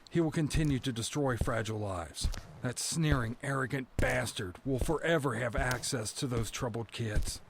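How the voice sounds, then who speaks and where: An actor playing a bitter, doomed prisoner who narrates a first-person audio drama with brooding, dramatic phrasing. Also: male voice, medium pitch, noisy background, close to an everyday voice. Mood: bitter, despairing.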